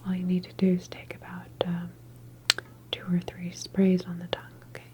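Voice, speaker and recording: A woman whispering softly and breathily in an ASMR medical roleplay as a calm, reassuring doctor examining a patient. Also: on the low side, background noise. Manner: gentle, unhurried, reassuring bedside manner. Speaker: ASMR performer playing a government facility doctor.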